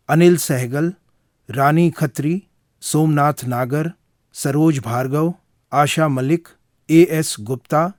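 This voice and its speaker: A man reading scripted credits as a radio announcer, in a formal, measured way. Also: on the high side, a little mellow, no echo, studio quality.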